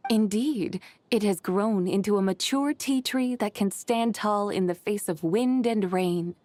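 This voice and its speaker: A woman playing a composed, wry immortal woman who speaks formally and measuredly, gently teasing, in an anime game. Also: mid-range voice, measured pace, slight background noise, close to an everyday voice. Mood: serene. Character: composed, wry female immortal adeptus with sly wisdom.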